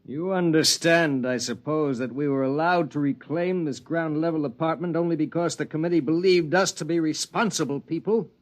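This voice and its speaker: Guy playing bossy pompous husband from a 50s radio play, lecturing tone, a bit high pitched. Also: fairly even intonation, moderate pace, hardly any pauses, lightly acted. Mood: stern, condescending. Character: domineering, status-obsessed, bullying young husband. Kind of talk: 1950s radio drama acting.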